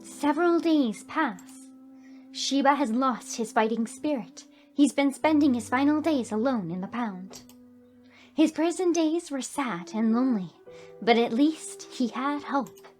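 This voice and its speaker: High-pitched, emotive woman narrating gameplay. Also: animated, unhurried, a little mellow, faint music behind. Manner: emotive, reactive. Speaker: gaming video host, reading narration aloud. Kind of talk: expressive game read-aloud with commentary. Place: voice-over on gameplay with game music behind.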